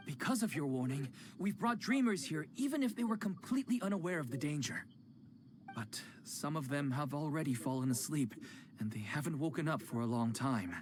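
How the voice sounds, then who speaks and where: A man's voice: mid-range voice, a little hiss or hum, close to an everyday voice. A man voicing an anxious, apologetic club organizer in a game, speaking nervously.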